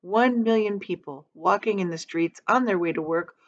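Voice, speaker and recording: A woman reading a children's book aloud like a teacher, expressive and dramatic, pausing to explain things to young listeners. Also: medium pitch, muffled recording. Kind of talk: animated storytime read-aloud with explanatory asides.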